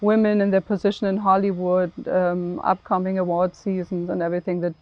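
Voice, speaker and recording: Woman panelist: even delivery, earnest and halting, in dry, muffled lo-fi audio. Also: lowish voice, dry recording. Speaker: panelist, programme head. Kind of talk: conversational panel discussion.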